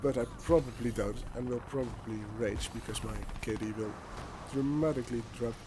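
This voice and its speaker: Young Dutch-accented gamer, halting voice-over with restarts, gunfire noise under it. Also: male voice, medium pitch, fairly even intonation. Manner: rambling, hesitant, many restarts. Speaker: gaming YouTuber. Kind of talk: gameplay commentary voice-over. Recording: game gunfire and noise behind.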